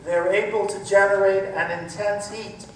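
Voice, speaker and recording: Guy acting as serious science professor on old radio, high voice, very echoey. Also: fairly even intonation, moderate pace, slight background noise, natural voice. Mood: sober concern. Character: learned, sober male scientist professor. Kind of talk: old-time radio play expert report. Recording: echoey hall from far off.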